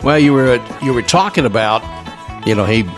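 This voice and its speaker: A man's voice: medium pitch, expressive, a little fast, music in the background. An older man, hosting a show in casual, folksy, rambling chat. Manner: folksy, rambling, repeats filler phrases. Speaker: radio show host.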